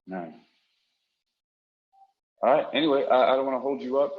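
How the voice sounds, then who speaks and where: A man's voice: medium pitch, moderate pace, phone-quality sound. A man chatting casually as a podcast moderator, laid-back and given to repeating himself. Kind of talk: casual chat.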